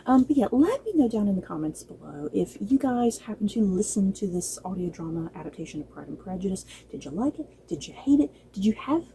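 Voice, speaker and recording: A woman with a soft-edged, mellow voice reviews casually for her viewers over light background noise. Her talk is informal and chatty, with gushing enthusiasm and playful exasperation. She speaks at a middling pitch. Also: big pitch swings, fairly quick, few pauses, poor audio. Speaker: book and drama review vlogger. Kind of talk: casual review chat to camera.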